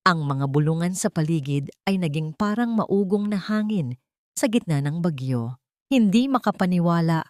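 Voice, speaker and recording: Low-pitched woman narrating dramatically. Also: a little mellow, no room sound, studio quality.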